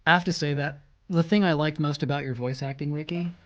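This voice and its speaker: A man's voice: a little high, fairly even intonation, fast talker, dull audio. A man giving casual, joking commentary as a show's creator in a group chat.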